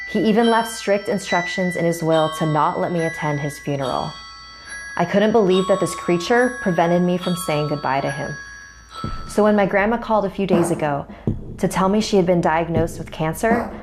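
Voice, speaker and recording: A woman narrating a scripted first-person horror story as a storyteller. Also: fairly low pitch. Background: music.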